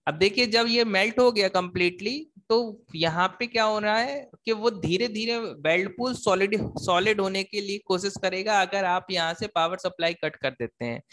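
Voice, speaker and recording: A man's voice: sits high, fairly bright tone. A man giving an explanatory technical lecture as an engineering teacher, asking and answering his own questions, repeating points and mixing in English technical terms.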